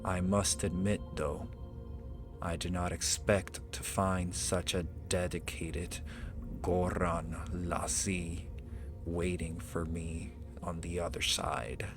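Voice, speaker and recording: A man playing an ancient, proud male dragon in an ASMR roleplay, speaking in an imperious, deliberate way with archaic, formal phrasing. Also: deep voice, level tone. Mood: imperious, intrigued. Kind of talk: ASMR roleplay, slow theatrical monologue. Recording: music behind the voice. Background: music.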